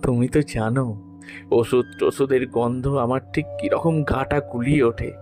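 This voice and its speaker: A man's voice: medium pitch, fairly even intonation, moderate pace. Man narrating a story over music. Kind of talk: dramatic first-person literary narration. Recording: music behind the voice.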